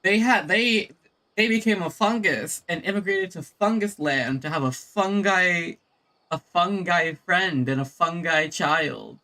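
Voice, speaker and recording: A man's voice: high voice, a little slow, sharp tone. A man giving casual, reactive commentary as he plays a video game. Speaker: streamer playing and reacting to a game.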